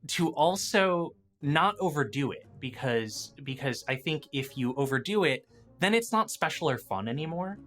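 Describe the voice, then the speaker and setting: A man in a casual gaming discussion, talking as an experienced dungeon master in an animated, opinionated way. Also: on the high side, normal speed, faint music behind.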